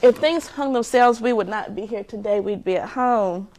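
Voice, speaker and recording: A woman's voice: a little high, normal speed, a little mellow. A woman, a schoolteacher, making casual interview remarks. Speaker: second grade teacher.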